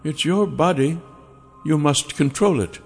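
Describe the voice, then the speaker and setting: A man's voice: a little high, creaky, husky, long reverb tail, soft background music, pitched above the performer's own voice, full character voice. A male narrator voicing an ancient female dragon in the form of a woman, coaxing and silky. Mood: seductive. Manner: silky, coaxing, slow and drawn out. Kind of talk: audiobook character voice.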